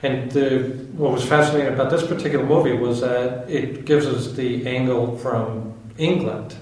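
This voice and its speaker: A man's voice: mid-range voice, fairly even intonation, moderate pace, a little mellow. American man asking questions in an echoey room. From United States. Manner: conversational, rambling questions. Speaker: journalist at a roundtable. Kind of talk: interview questions. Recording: echoey room, mic at a distance.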